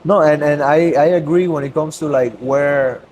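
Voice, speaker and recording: A man's voice: fairly high pitch, steady tone, moderate pace, a little mellow. A young man, talking earnestly as a podcast guest in a loose, casual way and slipping into Spanish now and then. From Cuba. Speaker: guest, business owner. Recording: noisy ambience.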